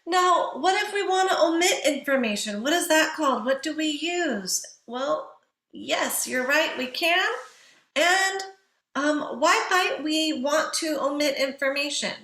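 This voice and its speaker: Woman teacher w a high voice, explains stuff and asks questions as she goes, roomy echo. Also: expressive, unhurried, sharp tone. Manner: didactic, poses questions to listeners and answers them. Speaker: writing instructor. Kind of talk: instructional lecture on writing.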